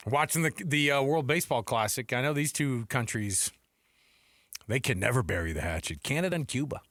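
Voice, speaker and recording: Casual American sports radio host, dry sound. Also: male voice, medium pitch, expressive, brisk, a bit bright, dry recording.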